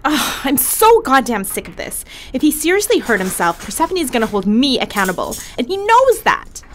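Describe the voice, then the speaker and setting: A woman's voice: high voice, big pitch swings, normal speed, a little hiss or hum. A woman acting in an audio drama as a brash, irritable, foul-mouthed ship medic with a blunt, sarcastic, defensive manner. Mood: angry, exasperated.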